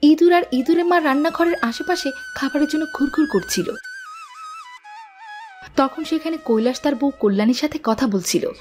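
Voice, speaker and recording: A woman with a high voice reads as the narrator of a children's story. Her delivery is scripted storytelling, with faint music underneath. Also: wildly swooping pitch, normal speed, darkish tone.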